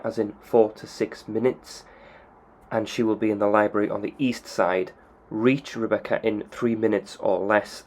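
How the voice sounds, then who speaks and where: Lower-pitched male game channel host, plain instructional walkthrough voice. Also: a little mellow, a little hiss or hum. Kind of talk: casual walkthrough narration.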